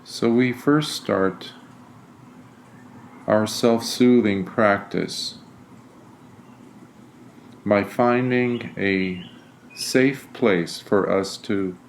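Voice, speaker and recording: Male meditation guide: unhurried, very slow delivery with long pauses, lowish pitch, some background noise. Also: vocal fry. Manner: deliberate, soothing, frequent pauses between phrases. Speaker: meditation guide, Buddhist monk.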